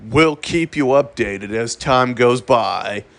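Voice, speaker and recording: A man narrating a scripted emergency broadcast in a formal, solemn and deliberate manner. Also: medium pitch, vocal fry, a bit bright. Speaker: emergency alert announcer.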